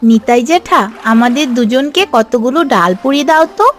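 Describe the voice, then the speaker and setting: A woman's voice: fairly high pitch, lively intonation, normal speed, faint music behind, lightly acted. A woman voicing an eager, cheerful little village child in a cartoon. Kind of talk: Bengali cartoon voice acting.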